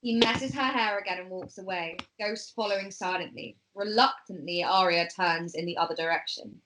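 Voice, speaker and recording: A woman narrates a script at an amateur table read. Her voice sits at an average pitch as she reads out the stage directions. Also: normal speed, a bit bright, slight background noise, poor audio.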